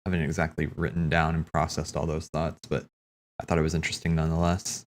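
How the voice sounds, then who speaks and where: A man's voice: very deep voice, completely flat delivery, fast talker. A man giving casual, off-the-cuff game commentary as a streamer, musing and thinking aloud in a rambling way. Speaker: streamer hosting a cutscene watch party.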